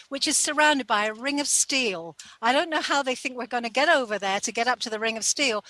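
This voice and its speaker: Woman, high pitch, bright forceful tone, casual eyewitness talk, dry close sound. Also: no room sound, rough recording. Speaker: panel guest or co-host.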